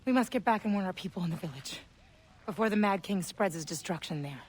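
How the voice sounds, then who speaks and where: A woman's voice: medium pitch, expressive, fast, slight background noise, natural voice. A woman playing a grave, protective Native mother in a video game, speaking earnestly in formal, solemn phrases. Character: grave, protective Native mother warning her grown son. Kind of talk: dramatic video game acting. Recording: loud music behind the voice.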